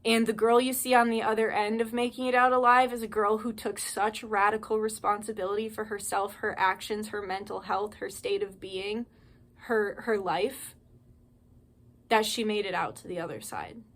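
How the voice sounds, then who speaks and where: A woman's voice: medium pitch, fairly even intonation, moderate pace, fairly bright tone. A young woman delivering a heated, profanity-laced monologue straight to her viewers, ranting and pleading. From United States. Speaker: spiritual channel host. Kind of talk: impassioned monologue to camera.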